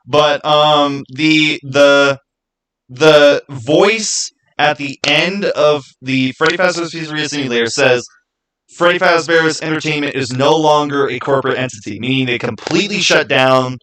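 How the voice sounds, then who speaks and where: A man's voice: on the high side, a bit bright, poor audio. Man chatting casually on a livestream. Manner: halting, rhetorical questions to viewers. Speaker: livestream host.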